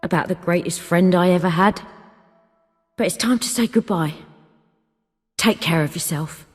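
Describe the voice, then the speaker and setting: Casting: a young warrior monk for a fantasy game, lowish husky voice, blunt, earnest, reverberant with music. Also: female voice, normal speed. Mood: wistful. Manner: plain-spoken, earnest. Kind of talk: dramatic fantasy game acting. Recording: long reverb, music behind.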